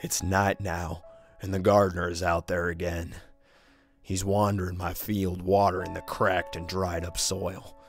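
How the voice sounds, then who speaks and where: A man's voice: deep voice, level tone, unhurried. A man narrating a first-person horror story in an intense, theatrical, dramatic way. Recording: music behind the voice.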